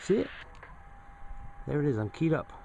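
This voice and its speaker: A man's voice: fairly high pitch, sing-song, normal speed, vocal fry, warm tone, highs cut off, a little hiss or hum. A man giving a casual hands-on demonstration to camera, plain-spoken and thinking aloud as he works. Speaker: host of a hobby radio video.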